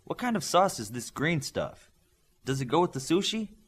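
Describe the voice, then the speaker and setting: Man voicing a curious, lively teenage boy. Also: on the high side, moderate pace, breathy edge, pitched above the performer's own voice.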